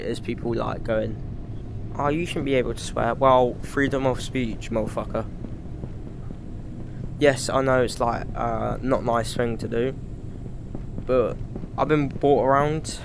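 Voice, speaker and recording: A man with a British accent giving rambling, casual commentary over his gameplay, swearing freely and filling pauses with "like" and "um". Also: mid-range voice, gravelly. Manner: rambling, frequent swearing and fillers. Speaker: gaming YouTuber.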